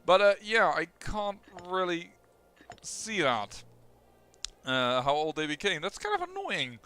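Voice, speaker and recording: A young man with a Dutch accent, casually commentating his own strategy game playthrough, excitable and quick, often restarting his sentences. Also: high-pitched, slight background noise. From Netherlands. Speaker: let's play host.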